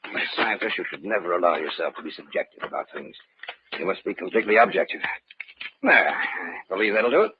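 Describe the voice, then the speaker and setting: An actor playing an obsessed, coolly confident doctor of science in old-time radio drama, formal and authoritative. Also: male voice, sits low, lively intonation, fast talker. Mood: brisk, assured. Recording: muffled, noisy old-time radio recording.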